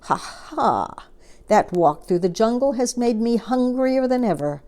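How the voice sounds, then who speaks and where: A woman's voice: fairly high pitch, animated, unhurried, warm tone, pitched above the performer's own voice. A woman voicing an enormous male crocodile in a children's storybook, a greedy bully who gloats and schemes theatrically. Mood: greedy, gloating. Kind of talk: children's storybook character reading.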